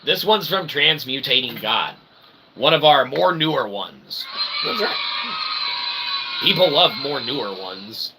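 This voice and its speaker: A man hosting a comedy show in a rambling, mock-earnest monologue, halting and trailing off between phrases. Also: mid-range voice, lively intonation, crowd noise, noisy background. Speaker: comedy show host and author.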